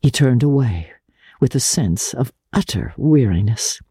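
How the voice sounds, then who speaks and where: A woman with a low, mellow voice gives a dramatic literary reading. She is unhurried and deliberate, shaping each phrase with expression, in a close, dry recording with a soft, slightly husky edge. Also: expressive, no room sound. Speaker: audiobook narrator.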